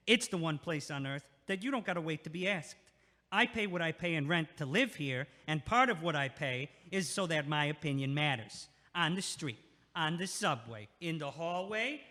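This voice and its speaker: Guy acting a pushy sarcastic nyc neighbor, high swoopy voice, echoey hallway. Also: bright, forceful tone. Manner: blunt, sarcastic, street-talking. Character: loud, pushy, meddling New York night-shift neighbor. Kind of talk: audio-play acting. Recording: echoey, long hallway-like reverb.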